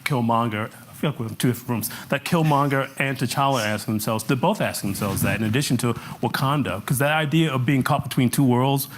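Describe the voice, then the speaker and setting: A man interviewing a guest in a casual conversation, asking animated, searching questions. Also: mid-range voice, quick pace, few pauses. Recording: noisy room background.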